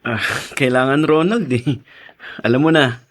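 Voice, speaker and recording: A man's voice: mid-range voice, unhurried, dry recording, natural voice. Hardworking young newlywed husband played by a man, radio drama; earnest, mid-range voice. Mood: light, earnest. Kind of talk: Tagalog radio drama acting.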